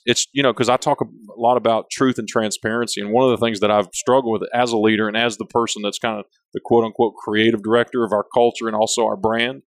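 Big-voiced man, low and dry. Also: dry recording. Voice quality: big voice, big personality. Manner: rambling, self-interrupting, candid. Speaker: company leader, interview guest. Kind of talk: casual conversational interview.

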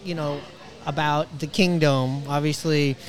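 A man's voice: fairly high pitch, fairly even intonation, unhurried, background noise. A man hosting a podcast, chatting casually and enthusiastically like a fan, in a rambling way as he interviews.

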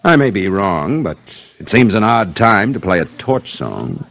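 An actor playing a calm, probing police inspector in a 1950s radio mystery, questioning in a measured, dryly skeptical way. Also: male voice, lowish voice, creaky, lo-fi sound, heavily acted. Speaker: actor in a radio detective drama. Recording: old 1950s radio recording, faint music.

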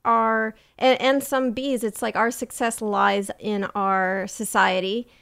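A woman's voice: medium pitch, level tone, slow, vocal fry, fairly bright tone, clean tone. A woman host explaining a science topic in casual, playful, jokey podcast chat.

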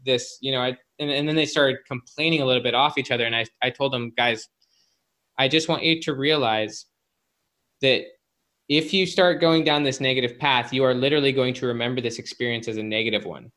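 Male, bright forceful voice, mid pitch, interview guest, informal explainer, filler-heavy anecdotes. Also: a little fast. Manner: informal, filler-heavy with frequent "like" and "you know". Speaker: guest psychologist and author.

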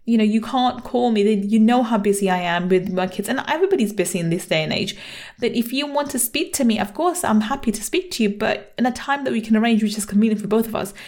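A woman's voice: a little high, quick pace, a little mellow. A middle-aged woman hosting a solo podcast as a coach, talking conversationally and often repeating herself. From England (born in Manchester, grew up in London). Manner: conversational, repeats herself, frequent "you know". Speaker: podcast host, money mindset coach.

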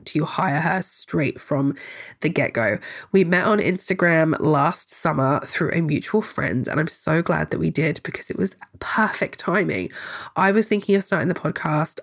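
A woman hosting a podcast, congested from a cold, giving a chatty, upbeat solo introduction with the odd filler. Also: lowish voice. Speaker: podcast host.

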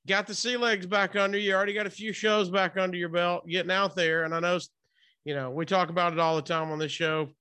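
A man's voice: high-pitched, steady tone, fast talker, bright, forceful tone, clear, resonant tone. A man hosting a podcast interview in a casual, enthusiastic, informal way. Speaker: podcast and radio host interviewer. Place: hotel room, remote call.

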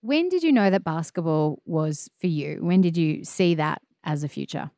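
A woman asks questions with a lively, swinging pitch and keeps the chat friendly, jumping in with quick comments and laughs. Her voice is recorded close and dry. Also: dry recording. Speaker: interviewer. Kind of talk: casual interview chat.

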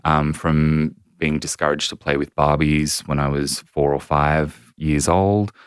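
An Australian man in his thirties, a podcast guest telling his story in a measured, candid way with frequent ums. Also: extremely low pitch, level tone, smooth, dry recording, clean studio sound. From New South Wales, Australia. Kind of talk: reflective personal storytelling.

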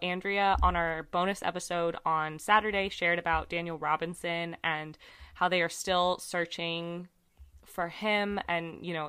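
Woman podcast host, lower voice with a bright edge, slight rasp, chatty and earnest. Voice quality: slightly raspy from screaming. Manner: chatty, many fillers, earnest about cases. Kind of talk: casual true crime podcast chat.

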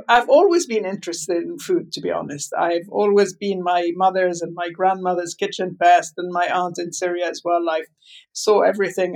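Gravelly older woman, chatty interview guest. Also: fairly low pitch. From Lebanon. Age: 70s. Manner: talkative, meandering, many fillers and restarts. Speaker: guest, food writer. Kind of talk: interview over a call.

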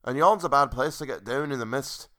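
A man's voice: medium pitch, a little fast, background noise, pitched above the performer's own voice, natural voice. Doomsayer, male performer, piratical drawl, grim storybook character. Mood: foreboding. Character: rustic British man giving grim warnings.

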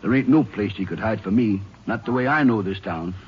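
Folksy, nervous Southern small-town marshal. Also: male voice, lowish voice, brisk, slightly rough. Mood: uneasy. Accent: rural American Southern. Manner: drawling, rambling, hesitant with uhs. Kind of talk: 1950s radio drama acting. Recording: dull old radio recording, faint hiss.

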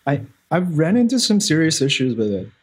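Husky man chatting on a podcast. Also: on the high side, big pitch swings, a little fast. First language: Russian. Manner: rambling, self-deprecating, many fillers. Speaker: podcast guest, visual artist. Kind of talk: casual podcast chat.

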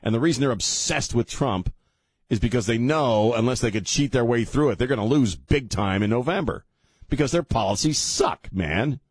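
A man's voice: mid-range voice, lively intonation, normal speed, breathy edge, no room sound. A middle-aged man hosting a talk radio show, rambling and sardonic, often restarting his sentences. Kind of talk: casual talk radio monologue. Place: radio studio. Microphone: studio microphone.

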